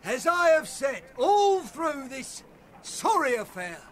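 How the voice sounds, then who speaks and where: A self-righteous older man in a radio drama. He orates slowly, very high and forcefully, to a crowd in the street. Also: very animated, exaggerated voice. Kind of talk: oratorical radio drama acting.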